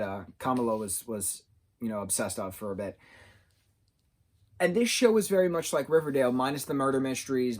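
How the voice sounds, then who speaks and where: A man's voice: mid-range voice, darkish tone. Rambling thirty-year-old man reviewing TV. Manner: rambling, halting, loses his train of thought. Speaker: TV show reviewer. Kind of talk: casual unscripted review talk.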